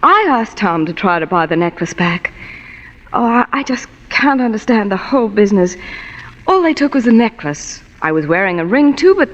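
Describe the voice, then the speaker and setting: An actress playing a sultry, wealthy, teasing femme fatale in a 1940s noir radio drama, with a coy, polished manner. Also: female voice, mid-range voice, sing-song, moderate pace, lightly acted. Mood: mock distress. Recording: muffled, reverberant old radio broadcast.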